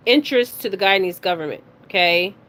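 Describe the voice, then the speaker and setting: A woman hosting a casual spiritual reading, speaking haltingly, stumbling over words and often checking in with her listeners. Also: mid-range voice, fairly bright tone. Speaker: spiritual reader and card reader hosting her own video. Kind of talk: casual psychic reading, reading news details aloud. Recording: clearly noisy recording with background ambience.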